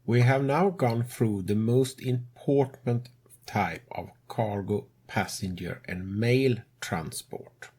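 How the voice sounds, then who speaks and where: A man speaking English as a second language, narrating a game tutorial as host in a measured way with non-native grammar. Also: mid-range voice, darkish tone, breathy edge. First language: not English.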